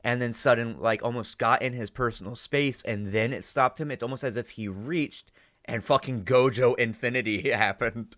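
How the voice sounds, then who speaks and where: A man's voice: medium pitch, normal speed, a bit bright, no echo, studio quality. A man giving casual, rambling reaction commentary as a host, often tagging his phrases with "right?". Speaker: host reacting to and analysing an audio drama.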